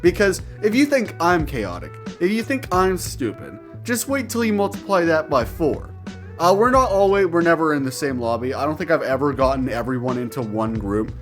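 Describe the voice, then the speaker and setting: A man with a fairly high voice tells stories to his viewers in a loose, rambling way. He talks like a gamer among friends, playful and crude, tossing in swearing and made-up words. Music plays under his voice. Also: sing-song, moderate pace. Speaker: gaming storyteller and channel host. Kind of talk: casual, rambling anecdote told to viewers.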